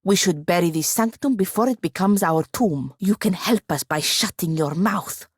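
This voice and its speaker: Game role: exasperated female wizard's apprentice, lowish voice, dry biting sarcasm, close dry recording. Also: moderate pace, husky, no room sound. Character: sardonic, exasperated female wizard's apprentice, proud and long-suffering. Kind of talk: video game character voice acting.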